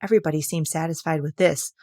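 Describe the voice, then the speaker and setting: A woman reading a children's story aloud as an expressive narrator. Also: low-pitched, quick pace, no room sound, clean studio sound. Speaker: audiobook reader.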